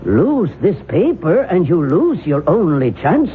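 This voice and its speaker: An actor playing a calm male professor who knows about curses, speaking in a measured, dryly amused way in a 1970s radio drama. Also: high-pitched, exaggerated voice. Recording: tinny old radio broadcast with faint hiss.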